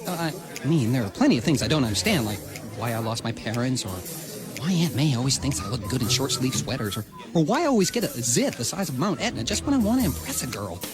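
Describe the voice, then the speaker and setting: An actor playing an awkward, self-pitying seventeen-year-old nerd who speaks hesitantly in a radio drama. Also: male voice, medium pitch, sing-song, quick pace, natural voice. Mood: glum. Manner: stammering, hesitant. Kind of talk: radio drama dialogue and narration. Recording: music behind the voice.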